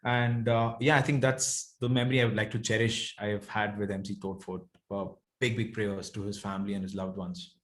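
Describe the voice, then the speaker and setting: A man's voice: on the low side, little pitch movement, a little fast. A man from Bangalore with a South Indian accent, speaking casually and earnestly as a rapper being interviewed, rambling as he thinks. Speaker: rapper guest.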